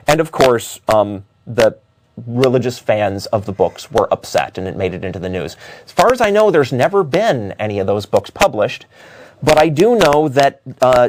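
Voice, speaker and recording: A man giving unscripted opinion commentary as a talk show host, arguing in a halting way with frequent self-corrections. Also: mid-range voice, noisy background.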